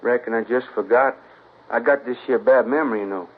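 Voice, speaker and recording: Man voicing a young Southern suspect, evasive, drawling, husky tone, tinny old radio sound. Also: medium pitch, brisk, lightly acted. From New Orleans. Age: young adult. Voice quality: drawling, hesitant, forgetful. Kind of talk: 1950s radio drama acting.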